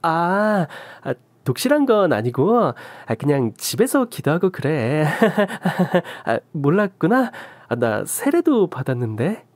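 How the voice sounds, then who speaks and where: A woman's voice: low voice, sing-song, takes it slowly, few pauses, a little mellow, no echo, pitched above the performer's own voice, exaggerated voice. A woman voicing a shifty young woman who stammers and turns indignant, in a dry recording. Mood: evasive. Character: shifty young woman friend, flustered and defensive.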